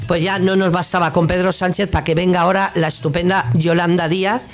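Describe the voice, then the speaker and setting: A woman's voice: on the low side, flat delivery, brisk, soft background music. A woman with a Castilian Spanish accent hosting a radio show, delivering a political opinion monologue in an indignant, sarcastic, colloquial way.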